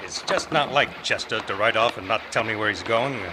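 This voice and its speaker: A man's voice: on the low side, a little fast, heavy background noise, natural voice. A man playing a courteous, folksy frontier marshal in an amateur old-time radio play. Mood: folksy, curious. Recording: live club performance with music behind.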